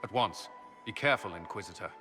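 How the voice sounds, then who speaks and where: An actor playing a blunt, wary military commander in a video game, speaking firmly and directly. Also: male voice, lowish voice, animated, fast talker, over music, close to an everyday voice. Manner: direct, firm. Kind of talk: video game cutscene acting. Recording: long hall reverb.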